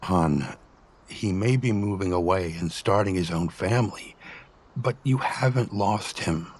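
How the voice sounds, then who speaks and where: An actor playing a gentle middle-aged husband reassuring his partner, tender, earnest and measured, in an emotional drama. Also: low-pitched, slightly rough, exaggerated voice. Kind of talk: emotional audio drama dialogue.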